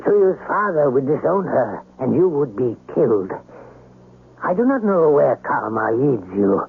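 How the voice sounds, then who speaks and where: An actor playing a wise, cautious older man with a husky voice and solemn, fatherly delivery in a 1970s radio drama. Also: fairly high pitch, sing-song, a little slow, phone-quality sound, a little hiss or hum, full character voice. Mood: grave, sympathetic. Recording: thin, band-limited old radio broadcast.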